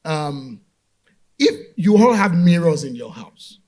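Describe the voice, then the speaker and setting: A man from Nigeria talks on a conference stage, bluntly pushing back on a question before building to firm points. His voice sits a little high for a man. He sounds like a panelist speaking to a live audience. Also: very animated, slow, a little mellow. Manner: direct, challenges premises, then builds to emphatic points. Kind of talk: motivational panel talk.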